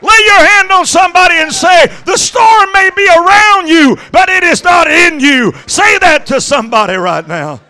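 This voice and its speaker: A middle-aged man preaching an impassioned Pentecostal sermon into a handheld microphone, exhorting and swinging between conversational asides and shouts. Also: extremely high pitch, animated, normal speed, hardly any pauses, some chatter behind. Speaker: evangelist preaching. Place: church sanctuary stage at a pulpit.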